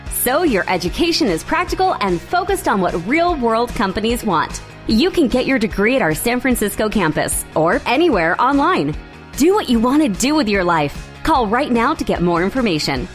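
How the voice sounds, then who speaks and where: Lively, higher-voiced American female announcer. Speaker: commercial announcer. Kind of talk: scripted radio advertisement.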